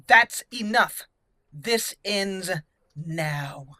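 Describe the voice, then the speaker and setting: A woman's voice: medium pitch, animated, very slow, bright, forceful tone, no echo. A woman hosting a roleplay game playthrough, mixing commentary with theatrical, haughty in-character lines. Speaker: gaming host.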